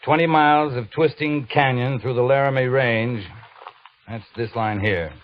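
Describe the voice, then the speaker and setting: An actor playing a stern, exacting cavalry captain with a clipped, commanding manner in an old-time radio western. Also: male voice, medium pitch, normal speed, close to an everyday voice. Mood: curt, stern. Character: stern, exacting male cavalry captain, brusque, grudgingly fair. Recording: muffled old radio broadcast, audible hiss.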